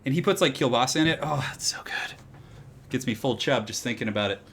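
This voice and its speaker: A man casting a strategy game tournament live and chatting with viewers, his slightly raspy voice getting hoarse, with excitable loud outbursts. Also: mid-range voice, fast talker. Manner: excitable, breaks into loud exclamations.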